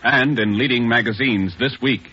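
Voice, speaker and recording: A man's voice: medium pitch, moderate pace, sharp tone, slightly rough. An American man announcing a 1950s radio show in a scripted style. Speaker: programme announcer. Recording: dull old radio recording.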